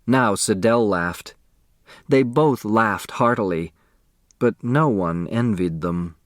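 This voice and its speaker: A man reading a novel aloud as an audiobook narrator, giving expressive colour to the characters. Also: lowish voice, takes it slowly, no room sound, clean studio sound.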